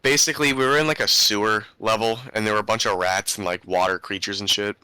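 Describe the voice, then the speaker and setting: A man's voice: lowish voice, fairly even intonation, fast, bright, forceful tone, clear, resonant tone, no echo, rough recording. A young man hosting a podcast, telling stories in a laid-back, rambling way with slang. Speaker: podcast host. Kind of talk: casual chat.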